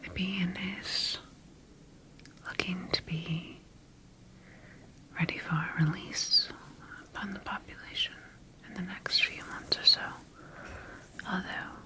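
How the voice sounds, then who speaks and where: Whispering female scientist acted by a woman, slow. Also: fairly low pitch, flat delivery, sharp tone, heavily acted, low-quality recording. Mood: calm, observant. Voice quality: breathy whisper. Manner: slow, measured, clinical dictation. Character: clinical female sci-fi scientist studying her engineered creature. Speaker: ASMR roleplay performer. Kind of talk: whispered ASMR roleplay.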